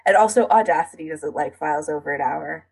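Chatty woman with a slightly husky voice. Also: low voice, a little fast, darkish tone, rough recording. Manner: chatty, explains in detail with frequent 'like'. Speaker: podcast co-host. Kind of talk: casual podcast chat.

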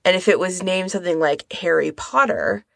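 A woman's voice: on the low side, normal speed, vocal fry, no echo, clean studio sound. A woman co-hosting a podcast in casual discussion, talking tentatively and carefully qualifying what she says. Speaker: podcast co-host.

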